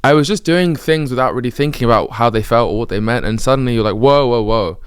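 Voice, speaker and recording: A man's voice: medium pitch, fast talker, smooth, dry recording, clean studio sound. A thirty-year-old man with a British accent, talking candidly and a little rambly about his own life, often catching and correcting himself. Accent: British English. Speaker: vlogger. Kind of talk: reflective personal monologue.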